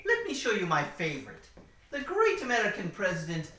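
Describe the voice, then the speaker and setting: A woman's voice: mid-range voice, wildly swooping pitch, brisk, a bit bright, distant mic. Woman playing sly villain Professor Muerte. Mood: suave. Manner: suave, theatrical, mock-polite with sudden menace. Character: sly male villain professor who runs a wax museum. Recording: roomy echo, voice set back from the mic.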